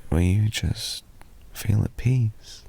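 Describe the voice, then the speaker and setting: A British man softly rambling in an improvised ASMR boyfriend roleplay, telling a drowsy, meandering story to lull a listener to sleep. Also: on the low side, vocal fry, husky. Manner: soft, slow, drowsy storytelling.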